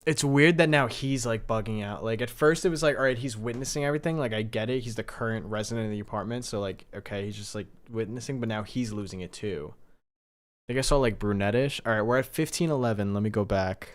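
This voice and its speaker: A man hosting a livestream, giving casual reaction commentary and thinking out loud in a rambling way. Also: on the low side, fast talker. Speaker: livestream host reacting to a horror series.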